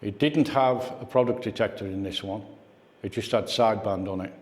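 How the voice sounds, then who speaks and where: A man with a fairly low voice gives an informal talk at a club meeting. He speaks conversationally, in the manner of someone sharing memories with fellow hobbyists. The room adds a long, echoey reverb around his words. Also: moderate pace, a little mellow. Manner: conversational, reminiscing, puts questions to the audience. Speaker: guest speaker at a radio club.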